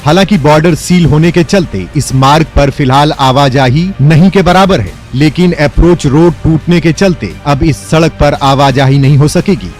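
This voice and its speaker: A man's voice: a little high, moderate pace, hardly any pauses, darkish tone, soft background music. A man reading scripted news narration as a voice-over reporter in a formal manner.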